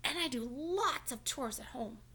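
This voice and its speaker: A woman's voice: high voice, expressive, a little slow, sharp tone, husky, exaggerated voice. A woman voicing an eager, earnest young boy character in a children's storybook, keen to prove himself. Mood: insistent.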